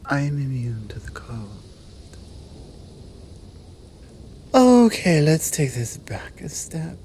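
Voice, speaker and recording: Slow, seductive British monster woman, acted by a woman. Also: sits low, very animated, warm tone, slight background noise. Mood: teasing, insistent. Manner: slow, teasing, breathy drops into whispers. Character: seductive, commanding horned fanged monster woman. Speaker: audio roleplay performer. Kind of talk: intimate ASMR roleplay acting.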